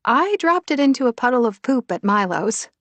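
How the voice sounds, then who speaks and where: A woman's voice: a little high, very animated, moderate pace, fairly bright tone, no room sound, voice pushed up. A frazzled woman of about thirty, acted out by a female narrator, feisty and self-deprecating, with emotion close to the surface. She speaks in audiobook dialogue with a dry, close sound. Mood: wry, weary.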